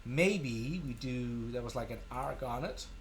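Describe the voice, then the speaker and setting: A middle-aged man from Denmark with a Danish accent, hosting a casual live software tutorial, thinking aloud in an informal, self-deprecating way. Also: mid-range voice, lively intonation, a little slow, slight background noise. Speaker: tutorial live stream host.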